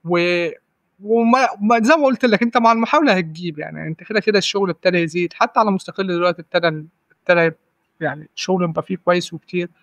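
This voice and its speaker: A man's voice: high-pitched, very fast, a bit bright, no room sound. A man in his twenties with an Egyptian accent, a podcast guest giving advice in casual talk, often repeating and restarting words and checking "right?". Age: 20s. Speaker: guest data analyst.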